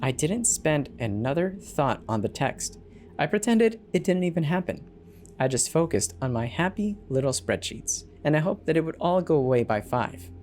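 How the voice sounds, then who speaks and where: A narrator giving an expressive, dramatic first-person reading of a horror story. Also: mid-range voice, moderate pace, slight background noise. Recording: background music behind the narration. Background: music.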